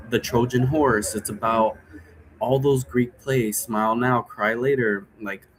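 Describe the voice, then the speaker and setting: A man's voice: medium pitch, noisy background. A man giving an informal, improvised explainer in a casual, digressive way, often posing rhetorical questions. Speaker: role-play game creator and presenter.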